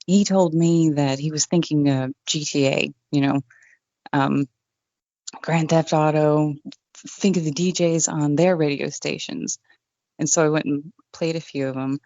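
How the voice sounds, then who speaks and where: Low-voiced woman, easygoing guest. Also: unhurried, dry recording. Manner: relaxed, self-deprecating, with fillers. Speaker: guest, online radio DJ. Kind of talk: casual interview chat. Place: home room without acoustic treatment. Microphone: condenser desk mic with pop screen. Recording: dull, dry home recording.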